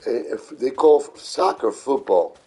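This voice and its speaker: A middle-aged man giving casual, unhurried live game commentary, repeating himself as he explains. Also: medium pitch, expressive, warm tone, husky. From New York City, United States. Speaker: video game streamer.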